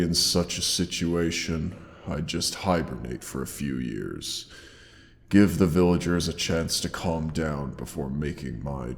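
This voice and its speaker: A man playing a huge male dragon in a roleplay audio drama, grandiose yet tender and protective, with gravelly, theatrical, old-fashioned formal speech. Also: exaggerated voice. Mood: gleeful, boastful. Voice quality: very deep, gravelly creaking voice. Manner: slow, theatrical, archaic formal phrasing with pauses. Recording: long cavernous reverb.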